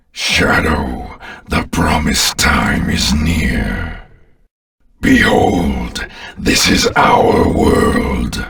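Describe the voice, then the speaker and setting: An extremely deep, gravelly alien overlord from a video game. He growls each command with imperious contempt and grand menace, in a forceful, distant-sounding voice. The delivery is grandiose and merciless. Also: full character voice. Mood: menacing, imperious. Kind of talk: dramatic video game villain voice acting.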